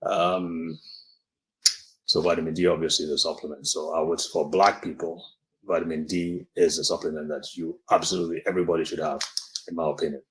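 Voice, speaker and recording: A man's voice: very deep voice, flat delivery, brisk, low-quality recording. A man from Nigeria, a doctor, giving an earnest live-stream health talk and answering viewer comments, often repeating words as he explains.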